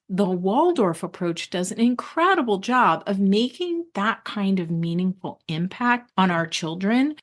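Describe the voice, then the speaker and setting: A woman podcast host with a mid-pitched voice delivers prepared narration. She speaks deliberately and leans on key phrases for emphasis. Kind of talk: scripted podcast narration about homeschooling.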